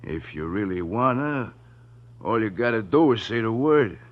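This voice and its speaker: A man's voice: lowish voice, expressive, normal speed, lightly acted. An actor playing a smug gangster henchman in an old radio crime drama, mocking and cocksure in slangy tough-guy talk. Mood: taunting. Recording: dull vintage radio recording.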